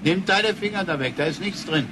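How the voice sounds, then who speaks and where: An actor playing an old inventor grandfather in a science-fiction radio play, protective, earnest and a little gruff. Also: high-pitched, brisk, heavy background noise, natural voice. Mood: gruff, concerned. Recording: music behind the voice.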